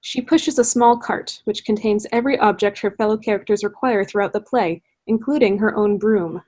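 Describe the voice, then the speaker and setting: A woman's voice: mid-range voice, normal speed, a little mellow. A woman giving scripted theatrical narration, reading stage directions for a play. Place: indoors on a video call.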